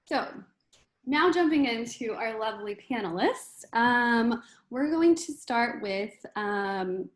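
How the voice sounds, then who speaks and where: A woman's voice: a little high, animated, slow pace. A woman hosting and moderating an online panel, recorded with a roomy, echoing sound. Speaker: panel host, community manager.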